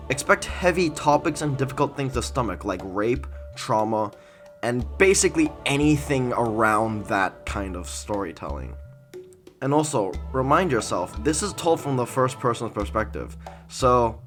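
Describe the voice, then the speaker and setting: Expressive male reviewer over background music. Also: mid-range voice. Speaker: video reviewer, host. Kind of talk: scripted review narration. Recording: music behind the voice.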